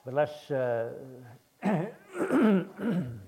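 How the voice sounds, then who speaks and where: An older man with a hoarse voice, preaching a sermon in a halting way with many restarts and fillers. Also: on the high side, huge pitch swings, warm tone. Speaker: guest preacher.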